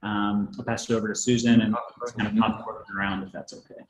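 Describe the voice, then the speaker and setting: A man's voice: lowish voice, little pitch movement, fairly quick. A man chairing an informal online meeting, speaking in a hesitant, casual and accommodating way. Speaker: meeting chair, medical director. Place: indoor room on video call. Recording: roomy echo, faint noise.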